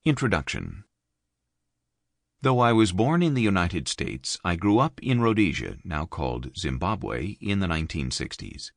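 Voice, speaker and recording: A man reading an audiobook in a measured, expressive studio narration. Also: vocal fry, no echo. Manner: measured, expressive reading with wide pitch movement. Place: dry recording booth. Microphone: close studio mic.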